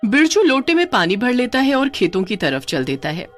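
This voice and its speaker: A woman's voice: a little high, very animated, moderate pace, faint music behind. A woman narrating a scripted story as a storyteller.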